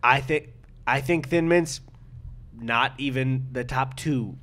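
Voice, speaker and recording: A man's voice: mid-range voice, takes it slowly, a bit bright. An American man co-hosting a casual comedic chat, rambling and opinionated, with plenty of swearing. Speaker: podcast co-host.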